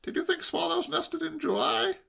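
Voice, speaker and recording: A man voicing a breezy, inquisitive young English gentleman in a comic audiobook, facetious and persistent in his questioning. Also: very high-pitched, animated, moderate pace, vocal fry, pitched above the performer's own voice, full character voice. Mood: incredulous, pedantic.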